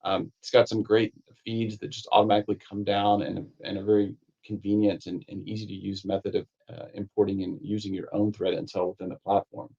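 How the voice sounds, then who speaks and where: A man with a fairly low voice talks through a training course as its author, loose and conversational, piling up fillers and restarts. His voice has gone a little raspy. The audio is somewhat dull and lo-fi. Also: little pitch movement, fairly quick, a little mellow. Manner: rambling, many fillers and restarts. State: slightly raspy voice, needs a cough drop. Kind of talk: conversational technical course walkthrough.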